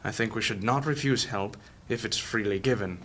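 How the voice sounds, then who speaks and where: A man playing an elderly, courteous and reasonable mage in a fantasy audio drama, speaking formally and with measured argument. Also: fairly low pitch, a bit bright. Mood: courteous, weary.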